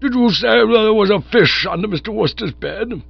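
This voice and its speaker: A man voicing a pompous, indignant elderly gentleman who speaks in stiff, formal sentences in an audiobook. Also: high voice, lively intonation, moderate pace, vocal fry, breathy edge, dry recording, dull audio, voice pushed up, full character voice. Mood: outraged. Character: pompous elderly male nerve specialist.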